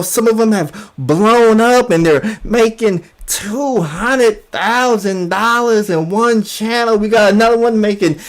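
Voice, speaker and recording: A man acts out a timid male YouTuber in a mocking online skit, pleading and apologizing at a slow pace. He hedges nervously, as if tiptoeing around trouble. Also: high-pitched. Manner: pleading, over-apologetic, hedging.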